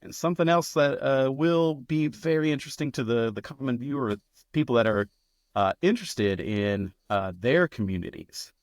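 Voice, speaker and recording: An American man with a medium-pitched voice hosts a casual interview podcast. He phrases things informally and a bit haltingly, recorded dry with hardly any room sound. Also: lively intonation, no echo. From United States.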